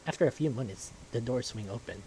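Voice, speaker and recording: A man's voice: medium pitch, animated, quick pace, darkish tone, slight background noise, rough recording. A man reading scripted first-person story narration from a visual novel. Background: music.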